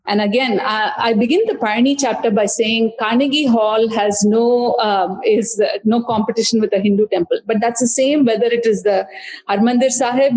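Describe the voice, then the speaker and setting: A woman author, talking through her book in a thoughtful, halting way with many fillers and restarts. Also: fairly high pitch, steady tone, darkish tone, low-quality recording. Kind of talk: conversational book discussion.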